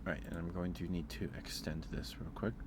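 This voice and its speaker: A man hosting an ASMR livestream in a soft, whispery voice, hushed and unhurried, close to the listener. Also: low voice, fairly even intonation, noisy background. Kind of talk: ASMR, casual chat to live viewers.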